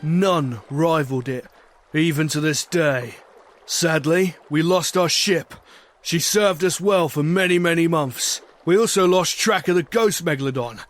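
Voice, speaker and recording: A man's voice: fairly high pitch, a little slow, natural voice. A man voicing a swashbuckling pirate captain who tells his sea tales in salty, theatrical pirate talk. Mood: reflective storytelling. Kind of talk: dramatic scripted storytelling with voice acting.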